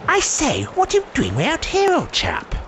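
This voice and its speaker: An actor playing a posh, unflappable old-fashioned British military chap in a video game, with chummy understatement and an upper-class accent. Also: male voice, very high-pitched, wildly swooping pitch, moderate pace, noisy background, heavily acted. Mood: breezy, unruffled. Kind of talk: video game cutscene acting.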